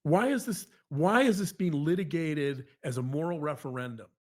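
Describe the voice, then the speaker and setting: A man with a fairly high voice talks in an earnest, conversational monologue. He is halting and repetitive, circling back to his points and stressing them. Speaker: podcast co-host, psychologist.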